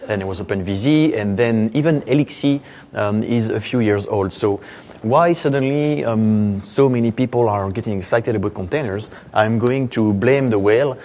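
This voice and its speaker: A man with a thick French accent speaks at a conference, loose and conversational, with plenty of "uh" and "um" and wry asides. His voice sits a bit low for a man. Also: normal speed, darkish tone. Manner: conversational, self-deprecating, many fillers. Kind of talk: technical conference talk. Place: conference hall.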